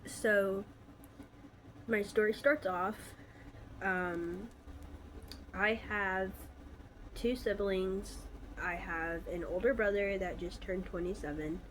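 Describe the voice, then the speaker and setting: A woman's voice: medium pitch, fairly even intonation, takes it slowly, pauses often, a little hiss or hum, poor audio. A woman in her twenties from Alabama, stuffy from a cold, telling her own story in a casual, hesitant vlog with many fillers. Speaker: vlogger.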